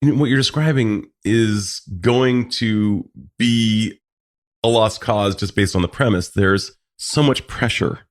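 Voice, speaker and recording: Man, deep voice, podcast host, thoughtful articulate phrasing, reflective conversation. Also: slow pace.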